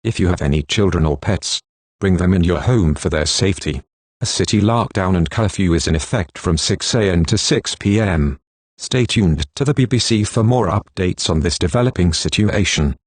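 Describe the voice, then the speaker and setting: A synthetic text-to-speech man's voice reading a scripted emergency broadcast announcement in a formal, measured, impassive manner. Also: sits low, dry recording.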